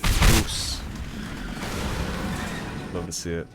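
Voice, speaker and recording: Casting: young male gamer, deep voice, easygoing let's-play commentary, music bed under him. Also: fairly even intonation, extremely slow. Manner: laid-back, chatty, mildly profane. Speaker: gaming video host. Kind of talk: casual gameplay commentary.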